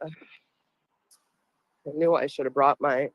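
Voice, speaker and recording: A woman with a Boston accent and a deep voice, chatting casually and rambling as a livestream host, laughing often, on a phone outdoors. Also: fairly even intonation, slow, no echo, highs cut off. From Boston area.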